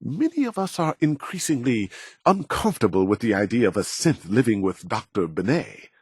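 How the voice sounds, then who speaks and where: An actor playing a proud, middle-aged robotics scientist in a video game, speaking in a measured, formal and condescending way with a husky voice. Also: male voice, medium pitch, very animated, dry recording, clean studio sound. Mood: concerned, admonishing. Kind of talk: scripted video game dialogue.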